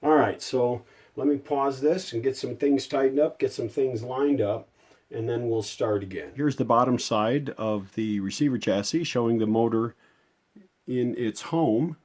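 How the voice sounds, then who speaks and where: Mellow-voiced man chatting through a repair. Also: medium pitch, a little slow. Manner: folksy, chatty, thinking aloud while working. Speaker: hobbyist restorer presenting a repair. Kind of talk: casual hands-on workshop narration.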